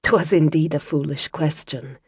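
Brief: woman narrator doing a soft-spoken, sultry Scottish healer lass, gently teasing, hushed and low, storybook read. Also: normal speed, no echo, studio quality, natural voice. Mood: gentle teasing.

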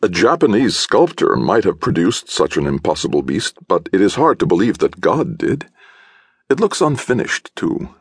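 A reckless young artist sneers and crows over his find in a voice pitched above the reader's own, rough at the edges and swinging widely. A man acts him out for a storybook reading. The sound is close and dry. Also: big pitch swings, normal speed, no room sound, heavily acted. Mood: mocking, excited. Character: brash young male artist, mocking and reckless.

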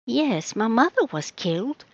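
A woman's voice: sits high, huge pitch swings, unhurried, a bit bright, no room sound, lo-fi sound, pitched above the performer's own voice, exaggerated voice. A woman voicing an anxious, eager male cat, the hesitant and earnest hero of a children's storybook. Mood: sad, awkward.

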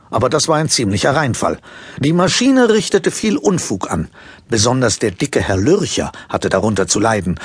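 A man narrating a children's story from a script in an expressive storytelling manner. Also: mid-range voice, slightly rough, no room sound.

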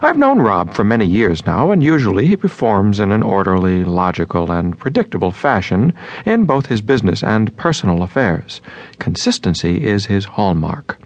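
An actor playing a moody, romantic man voicing his letters in an audio drama, measured and earnest, with a gravelly, husky voice. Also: fairly low pitch, very animated, no room sound. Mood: formal, composed.

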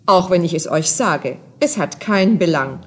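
A little old woman from a fairy-tale radio play, secretive and sprightly. Her voice is husky and swings widely up and down as she doles out her hints. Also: on the low side, lively intonation, normal speed. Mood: chiding, secretive.

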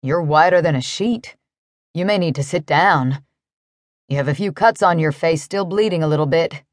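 Easygoing, kindly cowboy for a storybook romance female narrator acting him, pitched down, calm drawl, dry close sound. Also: moderate pace, dry recording, natural voice. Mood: kind, concerned.

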